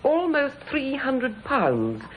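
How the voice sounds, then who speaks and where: Composed, very expressive wronged wife. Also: female voice, sits high, slow pace, exaggerated voice, rough recording. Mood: cool, pointed. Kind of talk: old-time radio acting. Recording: hissy vintage radio recording.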